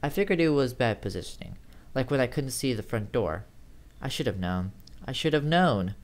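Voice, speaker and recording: A man's voice: mid-range voice, expressive, normal speed. A man reading a first-person horror story aloud in a dramatic, theatrical way, sometimes stumbling over words. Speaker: amateur horror story reader.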